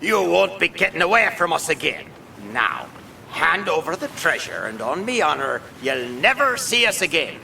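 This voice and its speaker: Creaky, blustering cartoon pirate. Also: male voice, a little high, sing-song, normal speed, bright, forceful tone, far from the mic, a little hiss or hum, exaggerated voice, poor audio. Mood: gloating, threatening. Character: blustering, would-be fearsome pirate henchman.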